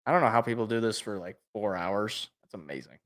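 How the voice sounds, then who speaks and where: A man co-hosting a casual sports podcast, loud and excitable, stumbling over repeated words, his voice worn out. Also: on the low side, fast. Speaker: podcast co-host.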